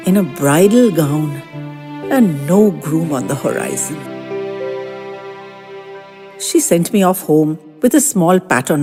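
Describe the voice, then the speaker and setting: A woman narrating audio fiction in an unhurried, expressive storytelling manner. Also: low voice. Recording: long reverb, faint music underneath.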